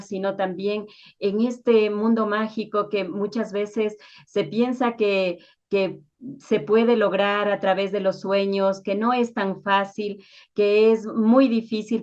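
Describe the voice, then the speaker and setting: Woman, Ecuadorian accent, mid-pitched voice, radio host, courteous formal interviewing, slightly muffled lo-fi recording. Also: darkish tone. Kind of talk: interview questions and formal presenting. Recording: slightly dull, lo-fi sound.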